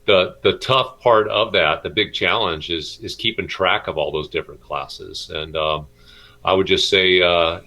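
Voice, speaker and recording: A middle-aged man, a schoolteacher, answering interview questions informally in a halting, hesitant way with many pauses. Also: low voice, sharp tone. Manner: halting, hesitant delivery with frequent pauses and repeats. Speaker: middle school math and science teacher.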